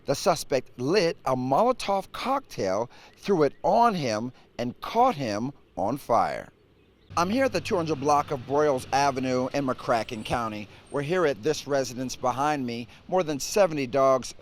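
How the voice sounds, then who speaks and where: A man with an American accent delivering scripted broadcast news as an anchor and field reporter. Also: mid-range voice.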